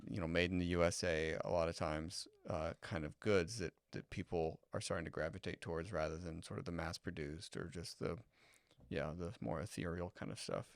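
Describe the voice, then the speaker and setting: A man co-hosting a podcast, giving relaxed, thoughtful opinions in casual chat. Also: low-pitched, a little fast, creaky, no echo, studio quality. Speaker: podcast co-host.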